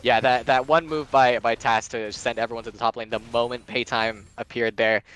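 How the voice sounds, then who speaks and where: A man's voice: mid-range voice, flat delivery, brisk, fairly bright tone, smooth. A man giving live play-by-play commentary on a video game match, mixed with casual chat.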